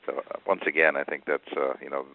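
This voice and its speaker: A man with a very deep voice answers questions on a conference call, hesitating and restarting his sentences often. He talks in a flat, even tone. The sound is tinny, like a phone line. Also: creaky. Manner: halting, many fillers and restarts. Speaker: oil company executive answering analysts.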